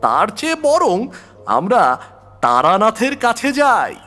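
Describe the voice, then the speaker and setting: A man voicing an earnest, insistent male friend who persuades with excited conviction, in an audio horror story. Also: high voice, slow pace, in a large hall, pitched above the performer's own voice, heavily acted. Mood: urgent advising.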